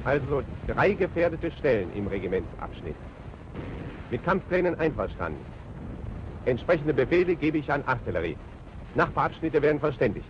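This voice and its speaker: A man playing a brisk, stern German army officer in a 1940s training film, with clipped, commanding speech. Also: mid-range voice, sing-song, close to an everyday voice. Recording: dull, noisy 1940s film soundtrack.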